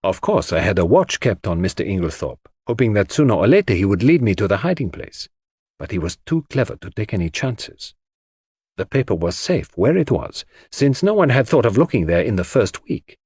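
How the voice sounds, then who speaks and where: Male narrator as a clever confident detective, husky, animated, deliberate, theatrical, audiobook drama. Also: lowish voice, no echo, clean studio sound. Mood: confident, explanatory. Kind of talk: audiobook character dialogue.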